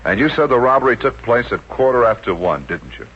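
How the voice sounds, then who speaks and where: A man's voice: medium pitch, sing-song, fairly quick, breathy edge. An American man playing a dry, businesslike police sergeant in a 1950s radio comedy. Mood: skeptical. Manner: dry, matter-of-fact. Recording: dull, hissy old 1950s radio recording.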